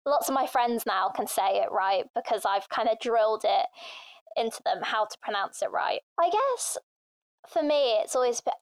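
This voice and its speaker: A woman's voice: on the high side, animated, normal speed, smooth, dry recording. A young woman from England being interviewed, talking casually and chattily with many fillers. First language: Thai. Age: young adult. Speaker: interview guest.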